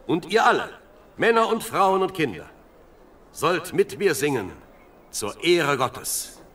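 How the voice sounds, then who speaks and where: A man's voice: fairly high pitch, very animated, slow, a bit bright, breathy edge, full character voice. An actor playing a devout, ceremonious king in a biblical audio drama, with stately, declamatory delivery. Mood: proclaiming.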